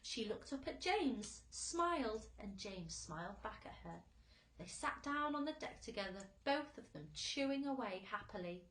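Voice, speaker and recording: A woman reads a storybook to young children like a teacher. Her voice is high and very animated, taken at an unhurried pace, with audible room echo. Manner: expressive, addressing young children. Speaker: infant school teacher reading aloud.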